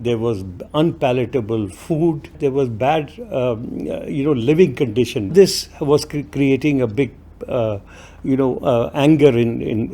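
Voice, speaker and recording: A man explains history in a documentary interview, pausing often with fillers and restarts. His voice is mellow and mid-pitched. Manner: halting, with many fillers and restarts.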